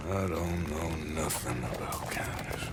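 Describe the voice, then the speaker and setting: A man acting a gruff, weary American outlaw debt collector in a game drama, terse and drawling. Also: a bass voice, steady tone, measured pace, over loud music. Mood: grudging. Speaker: game character actor. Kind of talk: dramatic game cutscene dialogue.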